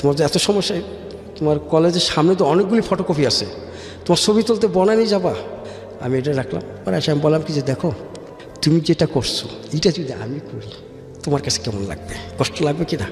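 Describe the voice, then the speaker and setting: A man with a Bangladeshi accent telling his personal story as a radio interview guest, haltingly and often repeating words. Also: fairly high pitch, expressive. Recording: echoey hall-like reverb with music. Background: music.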